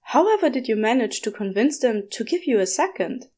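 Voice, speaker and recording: A woman's voice: a little high, big pitch swings, a little fast, a little mellow. A woman voicing a prim, cheerful male angel with effusive, old-fashioned politeness in an audiobook. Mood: chatty, envious. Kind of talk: audiobook character voice.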